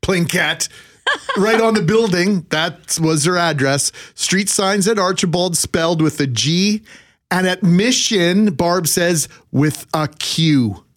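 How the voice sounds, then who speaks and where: A man's voice: high voice, slow, fairly bright tone. A Canadian man co-hosting a radio show with casual, playfully grandiose and emphatic banter. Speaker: radio co-host.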